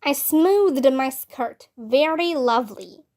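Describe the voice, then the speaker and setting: A high-voiced woman narrates a children's storybook in the first person. She reads slowly and deliberately, with expression. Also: expressive, darkish tone. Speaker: read-aloud narrator of a children's book.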